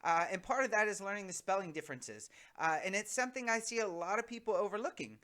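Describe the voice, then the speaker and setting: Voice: medium pitch, expressive, quick pace, sharp tone. An American teacher from the United States giving an informal English lesson, talking conversationally and directly to viewers.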